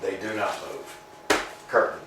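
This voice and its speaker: A man reading the stage directions aloud as narrator of a play reading, plain and unhurried. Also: low voice, steady tone, heavy background noise. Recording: roomy room, mic far off.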